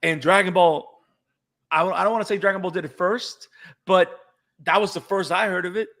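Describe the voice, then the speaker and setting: A man from Puerto Rico, a native Spanish speaker with a Puerto Rican accent, talking excitedly as a podcast co-host in casual chat. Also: sits high, normal speed. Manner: excited, repeats words when fired up.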